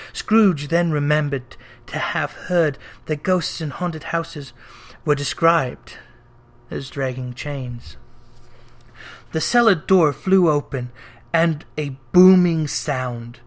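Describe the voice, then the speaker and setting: A narrator reading a classic tale aloud as dramatic storybook narration. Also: medium pitch. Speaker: narrator, reader.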